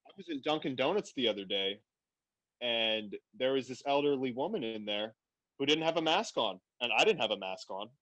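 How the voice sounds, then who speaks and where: A man from Afghanistan talking bluntly and animatedly in a casual podcast conversation, quick to laugh. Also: mid-range voice, animated, a bit bright, dry recording, poor audio. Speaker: podcast guest.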